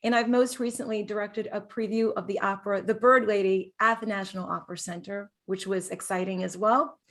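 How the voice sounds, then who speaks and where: Woman co-host with a mid-pitched voice. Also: steady tone, a little fast, fairly bright tone. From New York City. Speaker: co-host, actor and director. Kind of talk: introduction partly read from prepared biographies.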